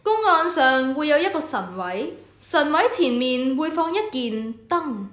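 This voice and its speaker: A woman's voice: high-pitched, very animated, measured pace, a bit bright, roomy sound, full character voice. A woman with a Hong Kong Cantonese accent playing an instructive senior temple official in an educational radio drama. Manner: authoritative, instructive. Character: official in charge of the Temple of Heaven, instructive superior. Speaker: voice actor.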